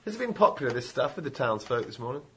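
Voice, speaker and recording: A man playing a tabletop game, asking quick, inquisitive questions. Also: medium pitch, sing-song, over a noisy crowd. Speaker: player. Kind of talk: casual chat at a game table.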